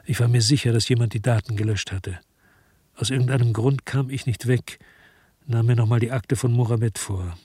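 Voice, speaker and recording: Actor as very husky, world-weary policeman narrator. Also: medium pitch, a little mellow, no echo, close to an everyday voice. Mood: matter-of-fact. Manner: brooding, understated. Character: disillusioned Marseille policeman narrating, weary, guilt-ridden, laconic. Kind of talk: radio drama first-person narration and dialogue.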